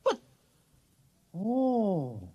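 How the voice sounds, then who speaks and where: Shrill, fussy, pompous inspector. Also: male voice, huge pitch swings, extremely slow, breathy edge, highs cut off, exaggerated voice. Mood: indignant, despairing. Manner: sputtering, pompous, contemptuous. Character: fussy, indignant male imperial inspector, snobbish and easily flustered. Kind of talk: old-time radio drama acting.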